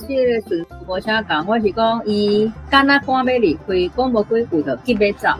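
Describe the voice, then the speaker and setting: A woman's voice: on the high side, moderate pace, faint music behind, rough recording. A woman with a Taiwanese accent, a language teacher explaining translations over an online connection.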